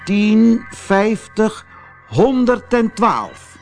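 A young storybook girl acted out by a man, pitched well up high. She is earnest and quick to scold, exclaiming her lines with very lively intonation. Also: faint music behind, full character voice. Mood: urgent, bluffing. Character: indignant, earnest young girl in a fairy tale. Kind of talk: storybook character voice.

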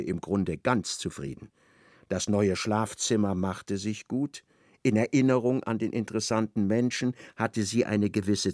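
A man narrating a literary radio play in measured, expressive storytelling. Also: medium pitch, dry recording, clean studio sound, lightly acted.

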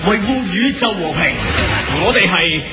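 A man's voice: high voice, lively intonation, measured pace, loud music behind the voice. A man playing an earnest, heroic narrator, delivering dramatic scripted narration for a cartoon radio drama. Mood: heroic, rousing.

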